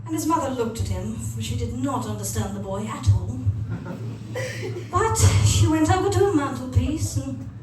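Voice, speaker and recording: A woman's voice: sits high, expressive, moderate pace. A woman telling a fairy tale as a storyteller. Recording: very echoey, distant, noisy room.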